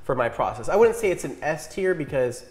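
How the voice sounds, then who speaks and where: A man's voice: on the high side, sing-song, fairly quick, slight background noise. A man in his thirties hosting a casual tier-list chat in a home garage, easygoing and self-deprecating. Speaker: host.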